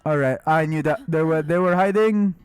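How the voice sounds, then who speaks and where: A man doing casual live gameplay commentary, exclamatory and quick to swear. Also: fairly high pitch, clean tone, no room sound, soft background music. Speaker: game streamer.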